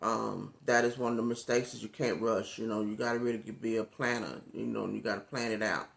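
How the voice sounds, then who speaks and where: A man with a raspy voice, a content creator talking casually into his phone, relaxed and rambling with many fillers. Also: fairly low pitch, a little fast. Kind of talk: casual talk to camera.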